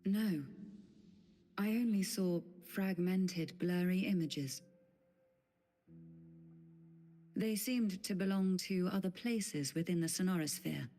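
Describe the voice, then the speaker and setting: An actress playing a composed, enigmatic and caring woman in a video game, speaking in a measured, deliberate way. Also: fairly low pitch, warm tone, a little hiss or hum. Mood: reserved. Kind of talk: video game character acting.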